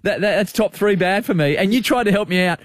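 An Australian man hosting his podcast and telling stories against himself with animated energy. His voice sits fairly high for a man. He keeps the delivery casual and chatty. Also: fast, no echo, clean studio sound. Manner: animated, self-mocking storyteller. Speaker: podcast host. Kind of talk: casual chat and show narration.

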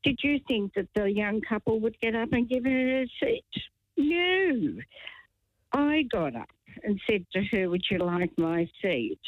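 A woman's voice: a little high, sing-song, unhurried, dry recording. Woman in her seventies on a phone line. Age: 70s. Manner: chatty, indignant, self-deprecating. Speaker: radio caller. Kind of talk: phone-in chat. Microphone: telephone.

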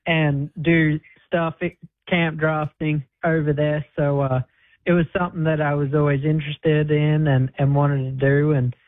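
A man in his twenties with an Australian accent, a guest talking in a relaxed, modest way with many fillers over the phone. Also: a little high, measured pace, dry recording. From Queensland, Australia. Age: 20s. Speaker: guest bull rider. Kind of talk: phone interview on radio. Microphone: phone line. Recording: tinny phone line.

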